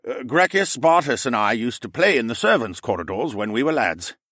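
A man voicing a genial dinosaur-man ambassador in an audiobook, with a smooth, courtly manner. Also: mid-range voice, animated, a little fast, fairly bright tone, no room sound, clean studio sound, voice pushed up.

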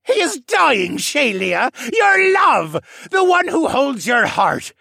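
A man's voice: very high-pitched, huge pitch swings, takes it slowly, creaky, bright, forceful tone, no room sound, shouting, clean studio sound, pitched above the performer's own voice, full character voice. A man voicing a deranged, feverish owlman villain who rants and stammers in an audiobook fantasy. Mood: gloating, deranged. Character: deranged gloating owlman villain, frail and desperate.

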